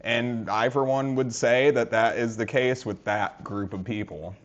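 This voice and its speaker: A man's voice: mid-range voice, steady tone, measured pace. A man giving casual reaction commentary over a clip, with sarcastic offhand asides. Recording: slightly dull lo-fi audio.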